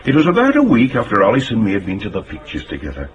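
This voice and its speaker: An actor playing a genial, plain-spoken older English working man in a radio drama, chatting haltingly in colloquial speech. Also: lowish voice, wildly swooping pitch, dull audio. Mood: rueful, puzzled. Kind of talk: naturalistic radio drama acting.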